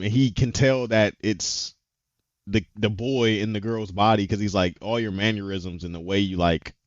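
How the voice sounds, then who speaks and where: Guy recapping anime episodes all excited, slangy, does the character lines, dry muffled audio. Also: on the low side, dry recording. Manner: animated retelling, acts out character lines, slangy. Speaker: podcast co-host.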